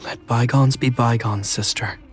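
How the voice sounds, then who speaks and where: An actor playing a dutiful, stern and restrained young soldier brother in a video game. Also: male voice, mid-range voice, steady tone, a little slow, faint music behind, lightly acted. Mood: solemn. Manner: earnest, restrained.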